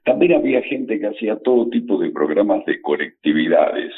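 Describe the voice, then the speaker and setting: A man's voice: fairly low pitch, animated, fairly quick, breathy edge. An older man with a Buenos Aires accent, a radio announcer reminiscing in conversational interview style. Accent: Buenos Aires Argentine. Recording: muffled radio sound, music behind.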